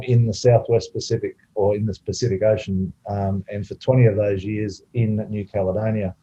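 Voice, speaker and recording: Guy hosting a webinar on a video call, really dark mellow voice, lots of ums, kinda dull audio. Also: fairly low pitch. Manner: relaxed, hesitant with many fillers. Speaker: webinar host.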